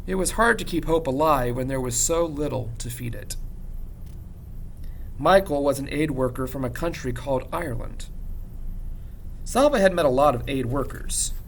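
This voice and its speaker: A man reads a story aloud like a teacher, in expressive narration with measured, dramatic pauses and emphasis. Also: medium pitch, slight background noise. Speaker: teacher reading a novel aloud. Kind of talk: expressive audiobook-style narration.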